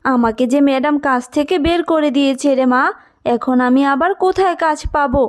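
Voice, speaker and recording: A woman voicing a gentle, worried poor mother in a cartoon story, speaking in a plaintive, motherly way. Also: high-pitched, normal speed, lightly acted. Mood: worried, sad.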